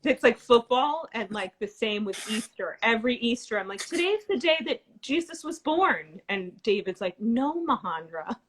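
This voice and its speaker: A woman co-hosting a livestream in casual chat, expressive, chatty and self-deprecating. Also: on the high side, normal speed, few pauses, rough recording. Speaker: livestream co-host.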